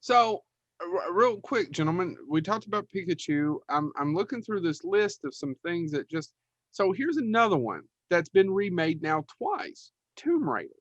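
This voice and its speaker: A man's voice: high-pitched, sing-song, normal speed, no echo, highs cut off. A man chatting casually and bluntly as a podcast co-host, with some mild swearing. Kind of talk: casual chat.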